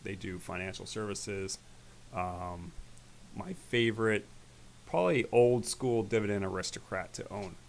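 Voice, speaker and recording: A man hosting an investing channel, talking casually through his stock portfolio in a rambling way, his voice growing raspy. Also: on the low side, measured pace. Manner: relaxed, rambling, with fillers. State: voice getting raspy. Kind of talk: casual screen-share stock commentary.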